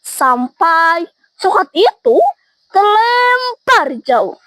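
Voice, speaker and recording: A woman's voice: extremely high pitch, big pitch swings, extremely slow, a bit bright, no room sound, low-quality recording. A woman telling a folk tale expressively, with dramatic sound words. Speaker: storyteller. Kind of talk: folk tale storytelling for a contest.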